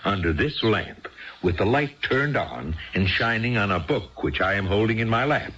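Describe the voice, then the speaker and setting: An actor playing a stuffy, condescending, bookish husband in a 1970s radio drama, pedantic, sarcastic and coldly impatient. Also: male voice, lowish voice, animated, normal speed, fairly bright tone, lo-fi sound. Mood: scolding, exasperated. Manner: pedantic, sarcastic, clipped precise wording.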